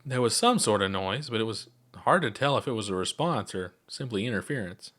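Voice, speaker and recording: A man reads a story aloud in a somewhat low voice. He narrates from a script as the author of the tale. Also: animated, brisk, fairly bright tone.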